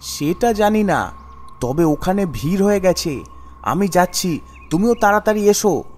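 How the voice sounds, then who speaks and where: A man puts on an anxious village gossip for a ghost cartoon. The voice sits on the high side, and the delivery is urgent and dramatic, like someone bearing grim news. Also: animated, unhurried, darkish tone. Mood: alarmed. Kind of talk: amateur cartoon ghost-story acting.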